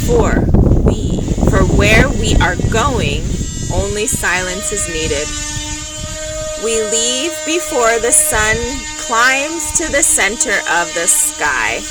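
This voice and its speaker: A woman reading a children's picture book aloud in an expressive, unhurried storytelling manner, with dramatic pauses. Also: on the high side, rough recording. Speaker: storybook reader. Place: at home, with construction noise. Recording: home recording with loud background noise. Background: construction noise, animal sounds.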